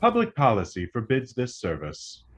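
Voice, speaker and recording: An actor voicing an impassive talking computer in a radio play, announcing formally and deliberately. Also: male voice, medium pitch, huge pitch swings, very noisy.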